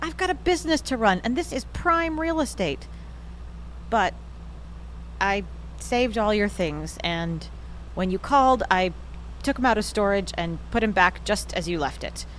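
A woman with an American accent playing a brisk, teasing mother who runs a boarding house, in a naturalistic audio drama. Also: medium pitch, animated, a little hiss or hum, close to an everyday voice, rough recording. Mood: brisk, fond. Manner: wry, matter-of-fact teasing.

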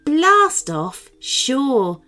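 A woman's voice: high voice, very animated, very slow, sharp tone, soft background music, pitched above the performer's own voice, full character voice. A woman voicing a skeptical, easily exasperated male cat in a children's story, with a sarcastic manner. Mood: sarcastic, wary.

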